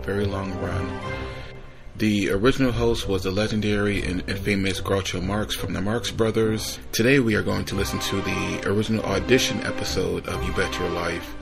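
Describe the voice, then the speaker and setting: Deep, gravelly man over music. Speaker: podcast host. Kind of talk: informal introduction to an old radio show. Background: loud music.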